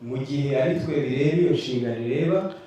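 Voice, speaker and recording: A man's voice: medium pitch, fast, warm tone. A man interpreting a sermon live, steadily rephrasing each phrase as he goes. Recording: distant mic, echoey hall, faint noise.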